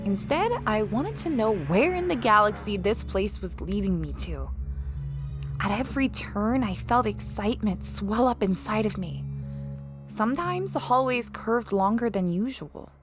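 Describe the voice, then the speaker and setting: A woman's voice: medium pitch, lively intonation, a little slow, music in the background, lightly acted. A woman playing a space explorer who recounts a strange expedition in a conversational, first-person audio drama style. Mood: reflective, curious. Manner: reflective, conversational storytelling.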